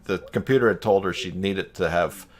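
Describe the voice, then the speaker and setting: A man's voice: sits low, moderate pace. A man hosting an informal live group video chat, talking in a casual, rambling way. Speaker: live stream host.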